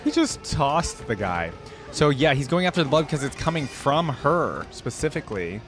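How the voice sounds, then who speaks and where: A man's voice: on the high side, big pitch swings, moderate pace, over music. A man hosting a reaction video, giving casual, enthusiastic commentary with rambling asides.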